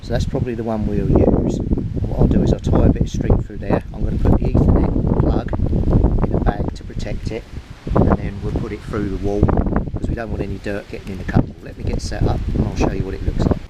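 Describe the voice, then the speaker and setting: A man talks through a practical job in a fairly deep voice. He sounds casual and matter-of-fact, explaining as he works. The recording is very noisy, with clicking and rustling behind him. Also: little pitch movement, a little fast, muffled recording. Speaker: DIY video maker. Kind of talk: casual hands-on demonstration, talking to camera while working.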